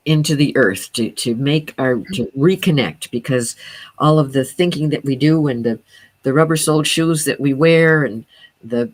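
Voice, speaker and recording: A woman with a notably low speaking voice talks as a guest about her sound healing teaching. She explains in an earnest, steady way, often pausing on fillers and restarting phrases. The recording is dry, with no room echo.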